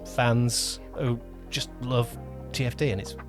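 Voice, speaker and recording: Male podcast co-host, mid-pitched, casual and self-correcting, over music. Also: level tone, unhurried. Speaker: podcast co-host, audio drama producer.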